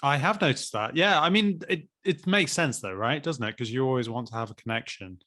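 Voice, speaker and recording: Casting: relaxed male co-host, mid pitch, agreeable and brief. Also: a little fast. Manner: easygoing, agreeable, short replies. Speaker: podcast co-host and English teacher. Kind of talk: casual podcast chat. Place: London.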